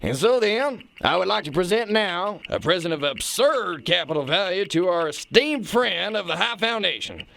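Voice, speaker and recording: Pompous, high-pitched, creaky kingpin boss. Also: male voice, expressive, moderate pace, few pauses, bright, forceful tone, slight background noise, heavily acted. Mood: pompous, self-satisfied. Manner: boastful, folksy drawl. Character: pompous, folksy, bullying male kingpin boss. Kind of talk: satirical radio drama acting.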